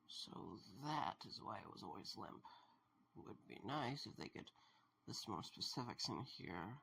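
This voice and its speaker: A man's voice: on the low side, animated, moderate pace, gravelly, sharp tone, slight background noise, heavily acted. A man playing a weary, wary investigator in an audio drama, talking to himself. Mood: musing.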